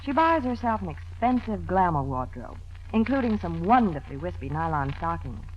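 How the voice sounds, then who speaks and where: A woman's voice: fairly low pitch, big pitch swings, normal speed. A woman reporter giving scripted promotional talk on 1940s radio. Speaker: Hollywood reporter. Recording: dull, faintly noisy vintage radio recording.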